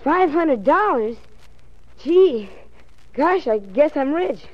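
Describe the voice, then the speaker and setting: A woman's voice: high voice, big pitch swings, slow pace, heavily acted. A woman playing an eager, polite young boy in a 1940s radio drama, full of earnest gee-whiz enthusiasm. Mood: delighted, eager. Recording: muffled lo-fi 1940s radio recording.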